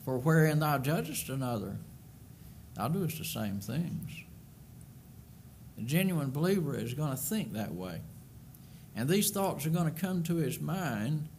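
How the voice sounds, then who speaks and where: A man's voice: on the high side, very animated, a little slow, darkish tone. An older man delivers an earnest sermon into a pulpit microphone in a church sanctuary. He presses his points with emphasis, doubling back on words as he goes, and a faint hum of room ambience sits behind him. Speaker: preacher.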